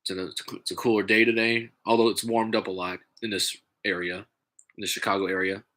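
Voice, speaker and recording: A man with a stuffy, congested nose, talking in a halting, casual way with many fillers and restarts, vlogging from home. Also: lowish voice, little pitch movement, pushed and bright. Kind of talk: casual rambling vlog.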